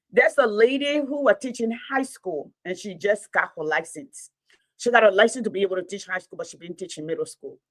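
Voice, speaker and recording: A woman's voice: on the high side, fairly bright tone, poor audio. A woman with a Liberian accent, telling a story in a casual talk show and often checking in with "okay?".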